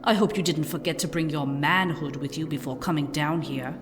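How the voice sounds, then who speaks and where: A woman's voice: low voice, steady tone, brisk, lightly acted. A woman voicing a scornful drow warrior. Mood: mocking. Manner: mocking, cutting. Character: sharp-tongued scornful female drow warrior. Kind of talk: audio drama acting. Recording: reverberant hall, music behind.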